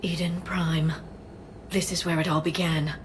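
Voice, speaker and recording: A woman voicing a grave, thoughtful alien scholar in a video game, soft and measured. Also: lowish voice, flat delivery, a little hiss or hum, close to an everyday voice. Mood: solemn.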